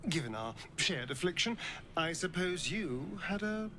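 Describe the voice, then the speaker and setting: A man's voice: on the high side, big pitch swings, measured pace, pushed and bright, reverberant, slight background noise, full character voice, poor audio. A man playing a vain, snide, theatrical rogue in a fantasy video game, with an arch, catty, drawling manner. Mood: intrigued.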